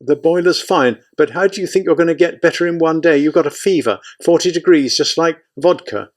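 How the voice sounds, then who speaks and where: A man's voice: fairly high pitch, brisk, voice pushed up, lightly acted. A man voicing an anxious, fussing male army aide who pleads in a flustered way. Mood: worried.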